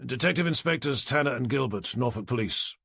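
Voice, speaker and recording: A man's voice: mid-range voice, lively intonation, fairly quick, vocal fry, pushed and bright, slightly rough, no room sound, exaggerated voice. A man voicing a dry, wry detective inspector asking questions in an audiobook, with a British accent. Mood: polite.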